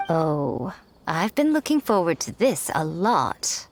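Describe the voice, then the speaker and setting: A woman voicing a sly, elegant, self-assured publisher in an animated game, composed and teasing. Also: on the low side, slow, slight background noise, full character voice.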